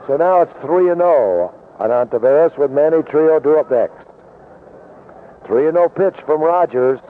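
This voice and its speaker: A man's voice: fairly high pitch, lively intonation, moderate pace. An American man calling baseball play-by-play in the style of 1970s radio commentary. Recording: tinny noisy vintage AM radio broadcast.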